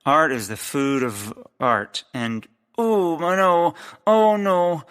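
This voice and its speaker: A man's voice: a little high, takes it slowly, a bit bright, clear, resonant tone. An actor playing a whiny, awkward, lovesick man in a comedic audio drama. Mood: awkward, flustered.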